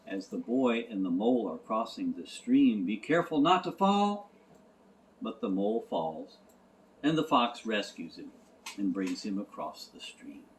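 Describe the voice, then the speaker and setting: A man reading a storybook as narrator, in a gentle, measured way. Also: lowish voice, animated, low-quality recording. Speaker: audiobook narrator.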